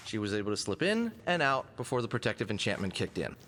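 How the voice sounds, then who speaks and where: A man's voice: on the low side, big pitch swings, fast, a bit bright, a little hiss or hum. A man playing a confident, wisecracking fox adventurer in an audio drama, quippy and self-assured in his delivery. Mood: explaining. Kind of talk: scripted audio drama dialogue. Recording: long hall-like reverb.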